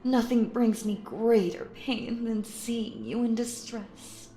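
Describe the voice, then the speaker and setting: A woman acting out a sinister, possessive stage magician in an intimate audio roleplay, cooing endearments theatrically and slipping into breathy, menacing whispers. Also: fairly high pitch, slow, mellow, roomy sound. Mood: tender, coaxing.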